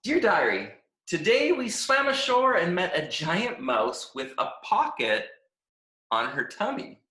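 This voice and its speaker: A man reading a children's storybook as a cheerful, oblivious talking stick, gushing with naive excitement. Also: sits high, a little slow, pitched above the performer's own voice, full character voice. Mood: excited, naive. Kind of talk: animated children's storybook read-aloud. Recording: echoey room.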